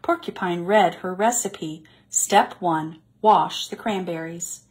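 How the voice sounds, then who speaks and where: A woman reads a children's picture book aloud in a deliberate, expressive way for young listeners. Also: fairly low pitch. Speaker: children's story reader.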